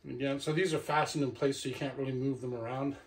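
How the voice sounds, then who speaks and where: A man's voice: medium pitch, fairly even intonation, fast talker. A middle-aged man giving a casual hands-on unboxing review, talking half to himself as he handles the gear in a living room. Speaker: product reviewer. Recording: clicks and clear background noise.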